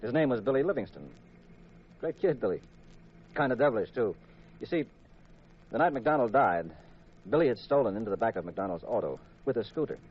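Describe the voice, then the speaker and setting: An American actor playing a shrewd, composed detective in an easygoing, pointed storytelling style for a 1950s radio mystery. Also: male voice, medium pitch, lively intonation, fairly quick, long pauses, slightly rough. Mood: steady recounting. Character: shrewd, composed male amateur detective, husband. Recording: muffled, dull 1950s radio recording.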